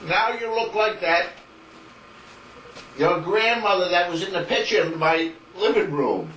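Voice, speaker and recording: A man's voice: high-pitched, lively intonation, a little slow, a bit bright. A middle-aged man, patiently questioning his mother about family history. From Rhode Island. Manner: patient, questioning, a bit rambling. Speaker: son interviewing his mother. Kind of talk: casual family interview. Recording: echoey home video, distant mic, hiss.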